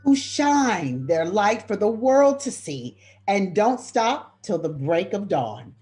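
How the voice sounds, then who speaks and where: A woman reading a picture book aloud as a guest reader, with measured, deliberate phrasing. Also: medium pitch, sing-song, mellow. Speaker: school principal, guest reader. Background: background music.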